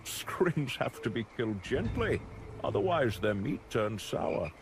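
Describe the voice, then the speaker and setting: A man's voice: medium pitch, big pitch swings, unhurried, a little hiss or hum. A man voicing a cheeky, callous little gnome cook in a video game, with a chirpy, wheedling manner. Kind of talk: video game character acting.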